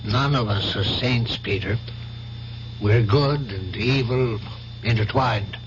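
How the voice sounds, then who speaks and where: A man's voice: on the low side, slow pace. An actor playing a grave, philosophical man consoling a friend in a radio drama. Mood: grave, reflective. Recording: dull old radio recording, faint hum.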